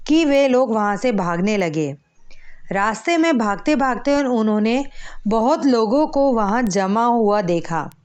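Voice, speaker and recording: A woman's voice: a little high, lively intonation, unhurried, darkish tone. A woman narrating a scripted children's story as a storyteller.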